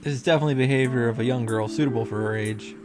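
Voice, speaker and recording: A man's voice: medium pitch, very fast, over music. A man giving casual, sarcastic reaction commentary while reading and summarizing a visual novel. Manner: sarcastic asides, casual swearing.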